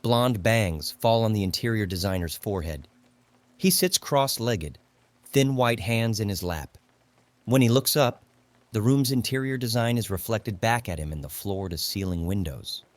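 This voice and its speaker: A man narrating a literary audiobook in a grave, measured, dramatic manner. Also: lowish voice, lively intonation, dry recording. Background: faint music.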